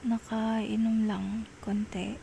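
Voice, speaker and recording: A woman with a Filipino accent playing an affectionate, apologetic girlfriend in an ASMR roleplay, talking softly and coaxingly, often half-whispering. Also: mid-range voice, little pitch movement, slow pace, creaky, low-quality recording. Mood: apologetic, explaining. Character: affectionate, apologetic girlfriend soothing a jealous partner. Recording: faint background noise.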